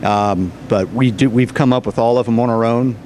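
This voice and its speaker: A man's voice: mid-range voice, fairly even intonation, moderate pace, a little mellow. An American man in his forties, a cafe owner explaining his business casually in an interview. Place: indoors in a cafe, behind the counter. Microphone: interviewer's handheld microphone. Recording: clear background noise on location.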